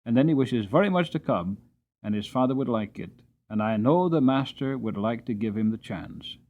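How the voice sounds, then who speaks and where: A man's voice: mid-range voice, animated, moderate pace, natural voice. A man voicing a kind, hardworking coachman who talks plainly and earnestly, on a tinny narrowband recording.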